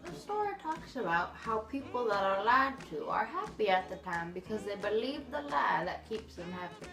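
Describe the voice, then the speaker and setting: A woman's voice: mid-range voice, sing-song, moderate pace. A woman playing a fondly exasperated elderly grandmother who hosts a story show in an amateur comic skit. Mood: storytelling. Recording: echoey room, far from mic, music behind.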